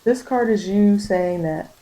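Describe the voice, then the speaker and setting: Woman, tarot reader, mellow warm tone, medium pitch, informal wording, repeats phrases for emphasis, intimate spiritual guidance. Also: unhurried, rough recording. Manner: repetitive, emphatic phrasing addressed directly to the listener; informal vernacular speech. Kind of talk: spiritual tarot guidance, ASMR-leaning monologue.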